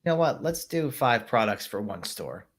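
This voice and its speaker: A man's voice: on the low side, sing-song, normal speed, slightly rough. A man co-hosting a livestream in casual chat, playful and laid-back, often laughing. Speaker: co-host.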